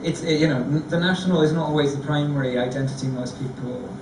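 A man on a discussion panel, telling a lively, self-deprecating story. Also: a little high, fast talker, recorded from a distance, background noise. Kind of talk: anecdotal storytelling. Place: large theatre stage before an audience. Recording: echoey hall recorded from afar.

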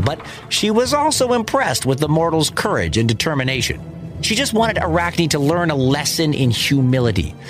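A man telling a myth as a storyteller, in theatrical, emphatic scripted narration. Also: a little high.

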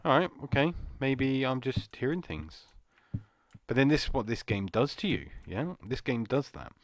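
A man with a slightly croaky voice, rambling and jumpy as he comments on a horror game. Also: mid-range voice, very animated, moderate pace. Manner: rambling, jumpy, muttering to himself. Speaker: gaming channel host. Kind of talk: casual horror gameplay commentary.

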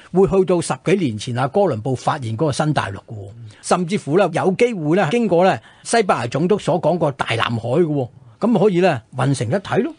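Man, Hong Kong Cantonese accent, slightly high voice, quick pace, conversational history storytelling, rhetorical questions, radio co-host. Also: breathy edge, no room sound. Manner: animated explainer, frequent rhetorical check questions.

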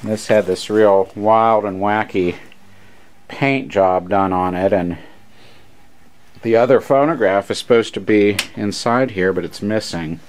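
A man's voice: fairly low pitch, unhurried. A man giving a casual, plain-spoken repair demonstration, rambling along unscripted as he presents. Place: small shop, indoors. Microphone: handheld camera, operator behind it.

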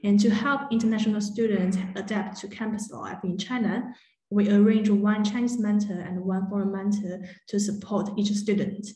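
A woman's voice: medium pitch, fairly even intonation, moderate pace, warm tone. Woman presenting on a video call, lots of uhs, echoey room. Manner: hesitant, many "uh" fillers. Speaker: admissions presenter. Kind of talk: webinar presentation.

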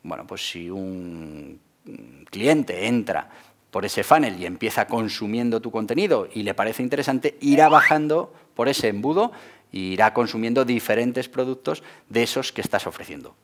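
A man talks straight to camera from a studio desk. His voice sits a little low, picked up close on a lapel mic. He moves through the explanation with wide hand gestures and lots of "bueno, pues" fillers. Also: lively intonation, moderate pace, slight background noise. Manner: gestures broadly with both hands, many "bueno, pues" fillers. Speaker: host of a channel on marketing for entrepreneurs. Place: indoor studio set with a porthole backdrop. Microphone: lapel mic, seated at a desk.

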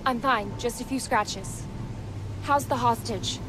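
A woman's voice: fairly high pitch, takes it slowly, noisy background. A young woman playing a serious, determined masked heroine in a video game, firm and focused. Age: young adult. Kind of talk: video game dramatic acting.

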